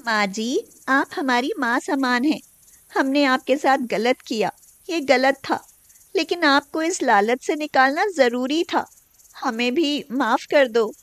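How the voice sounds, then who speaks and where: A woman voicing a firm, dutiful young daughter-in-law in an animated story. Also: high voice, slow, no room sound. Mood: earnest.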